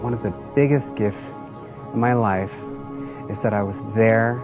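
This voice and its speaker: A man's voice: on the low side, measured pace. A man giving an earnest personal testimonial, telling his story plainly in short phrases. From Southeast Asia and the United States. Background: music.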